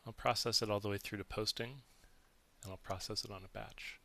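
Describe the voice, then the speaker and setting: A man giving a methodical, explanatory walkthrough as a software demo presenter, recorded close on the mic. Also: on the low side, steady tone, fairly quick, creaky. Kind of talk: instructional screen-share walkthrough, semi-scripted.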